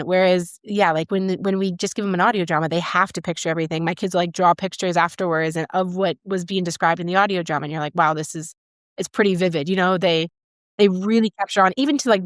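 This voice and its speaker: A woman's voice: lowish voice, dry recording, studio quality. A woman hosting an interview, speaking in a casual, conversational way. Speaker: podcast host, interviewer.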